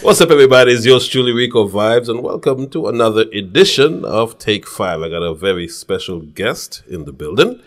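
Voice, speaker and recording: A man hosts a casual studio interview, nudging his guest along. His voice sits low but swings widely in pitch. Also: expressive, a little fast, hardly any pauses, soft background music. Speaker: podcast host. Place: podcast studio.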